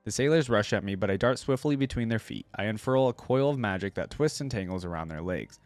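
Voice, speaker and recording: A man's voice: fairly low pitch, animated, fairly quick, dry recording, faint music behind. A young man reading a story aloud and commenting casually as a reaction video host. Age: young adult.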